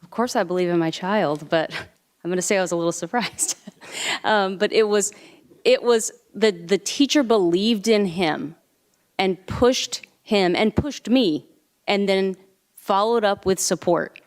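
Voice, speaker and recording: A woman's voice: medium pitch, a little slow. A woman on a school board sharing a personal example as a parent, with frequent hesitant fillers. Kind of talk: board meeting discussion. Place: board meeting room.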